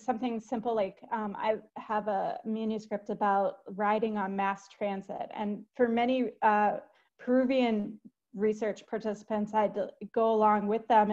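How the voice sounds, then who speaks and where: A middle-aged woman speaking as a panelist in an academic webinar, conversational with fillers, on a video call with earbuds from home. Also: medium pitch. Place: home room. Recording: dull video call audio.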